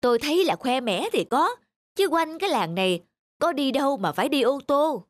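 A woman voicing a gossipy, meddling older village woman in an animated cartoon, with a nosy, exclamatory manner. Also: high-pitched, moderate pace, no room sound. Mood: sniping.

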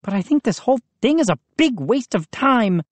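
Woman doing a shrill, agitated young boy. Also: big pitch swings, normal speed, no room sound. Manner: loud, high and agitated. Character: excitable boy, narrator's friend.

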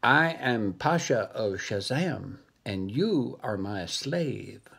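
A man's voice: lowish voice, lively intonation, takes it slowly, vocal fry, fairly bright tone, breathy edge, pitched below the performer's own voice, heavily acted. A man voicing a sly, bossy male monkey who speaks broken English and refers to himself by name.

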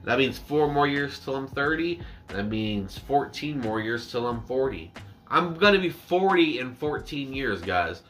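A man's voice: medium pitch, expressive, unhurried, fairly bright tone, soft background music. A man in his twenties chatting casually to camera as a reaction YouTuber, rambling and self-deprecating, stretching some words out. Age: 20s. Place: small indoor room with dark backdrop.

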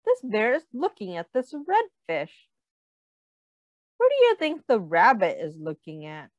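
A young adult woman reads a picture book aloud to young children as a teacher, explaining things and putting questions to the listener in a deliberate way. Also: fairly high pitch, huge pitch swings, dry recording, highs cut off.

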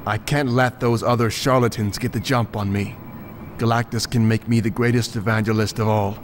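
A man's voice: lowish voice, fairly even intonation, moderate pace, slight background noise, close to an everyday voice. A man playing a self-important, ambitious television evangelist in a comic book drama, pompous and calculating.

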